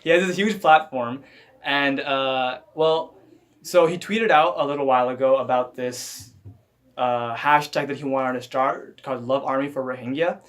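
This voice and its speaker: A young man hosting a casual podcast chat, earnest and halting, repeating words and filling pauses. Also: mid-range voice, fairly bright tone. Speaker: podcast host.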